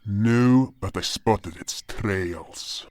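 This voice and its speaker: A man's voice: lowish voice, very slow, darkish tone, no echo. A man playing a blustery little hairy guardian. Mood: earnest. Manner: blustery, exclamatory. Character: gruff, hot-tempered small hairy creature guardian.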